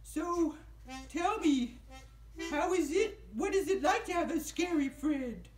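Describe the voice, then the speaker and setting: Friendly, flustered zombie puppet, high and swooping, children's show. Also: male voice, animated, slow, reverberant, faint music behind, full character voice. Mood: gentle. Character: gentle, friendly zombie puppet, falling apart, easily flustered.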